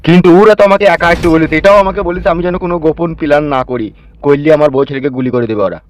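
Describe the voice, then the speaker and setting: A man's voice: fairly high pitch, racing, clear, resonant tone, no echo, close to an everyday voice. A man voicing a scheming, bossy cartoon politician with a sarcastic, crude manner. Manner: bossy, mocking, swearing. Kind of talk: Bengali cartoon comedy dialogue.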